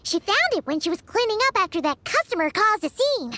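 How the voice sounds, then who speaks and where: A woman voicing a tiny, excitable, childlike fairy companion in a video game, cheerful, eager and easily indignant. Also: fairly quick, noisy background. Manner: squeaky, exclamatory, eager.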